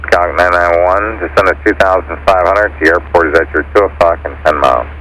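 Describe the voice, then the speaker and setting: A man's voice: sits low, fast, a bit bright, poor audio. A man working as an air traffic controller, giving clipped, procedural instructions over aviation radio. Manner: procedural, businesslike.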